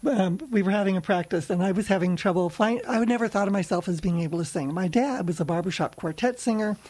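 A woman with a lower-pitched voice chats easily in an interview. She explains things in a relaxed way and tells anecdotes, often laughing between them. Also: quick pace. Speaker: ukulele festival organizer. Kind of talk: casual interview chat.

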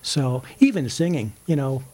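A man's voice: medium pitch, animated, moderate pace, darkish tone, husky. An American man talking casually as an interview guest, explaining things in an easygoing way. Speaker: ukulele club member, interview guest.

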